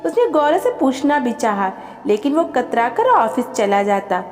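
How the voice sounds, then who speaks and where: A woman reading a scripted, emotional family story as an expressive storyteller. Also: on the high side, measured pace. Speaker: storyteller and channel host. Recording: long reverb with music behind. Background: background music.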